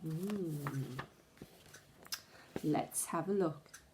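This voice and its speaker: A woman's voice: low voice, noisy background. A woman teacher reading a storybook aloud to children in a dramatic, suspenseful way, with asides to her pupils. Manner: expressive, suspenseful, deliberate storytelling.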